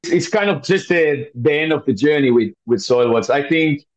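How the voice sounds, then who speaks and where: A man's voice: a little high, normal speed, poor audio. A man from Finland with a Finnish accent, answering conversationally as an interview guest. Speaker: podcast guest, scientist.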